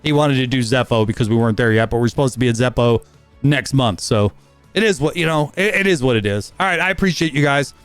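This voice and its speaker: A man in his fifties giving a rambling, casual update on his gaming channel, talking fast with frequent fillers and restarts. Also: medium pitch, clear, resonant tone, no room sound, soft background music. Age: 50s.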